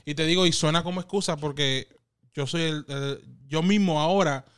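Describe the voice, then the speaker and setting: A man in his thirties from the Dominican Republic with a Dominican accent, telling stories as a guest in a casual interview, animated and quick to laugh. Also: fairly high pitch, clear, resonant tone. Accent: Dominican Spanish. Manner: animated, laughs often, quotes others in lively voices.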